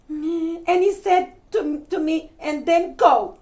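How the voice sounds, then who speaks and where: A very high, tearful lady bug from a children's storybook, played by a woman. She speaks slowly, in halting sobs and gasps, like a hurt circus performer who is getting on in years. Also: darkish tone, exaggerated voice. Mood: sobbing, hurt. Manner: sobbing, halting, gasping. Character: tearful aging female circus bug acrobat, sacked, friendly.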